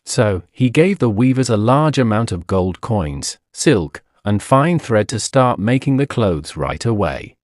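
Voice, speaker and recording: A man narrating a scripted story for English learners in a deliberate, clearly phrased, expressive storytelling manner. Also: on the low side, dry recording, clean studio sound. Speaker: audiobook narrator.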